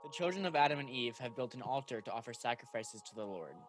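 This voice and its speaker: A man's voice: medium pitch, animated, quick pace, soft background music, close to an everyday voice. Stern, dutiful archangel played by a man. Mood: authoritative. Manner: earnest, solemn, instructing. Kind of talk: radio play acting.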